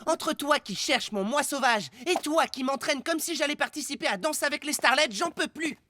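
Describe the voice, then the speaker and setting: A woman's voice: high-pitched, moderate pace, bright, forceful tone, no echo. A woman voicing a shy, easily discouraged young boy in a children's cartoon, with a childlike, hesitant, whiny delivery. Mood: exasperated. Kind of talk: French children's cartoon voice acting. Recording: music behind the voice.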